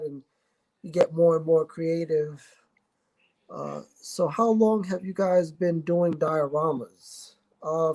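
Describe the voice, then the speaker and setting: A man's voice: fairly high pitch, mellow, poor audio. Easygoing man in his thirties. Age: 30s. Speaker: guest artist. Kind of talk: casual livestream chat.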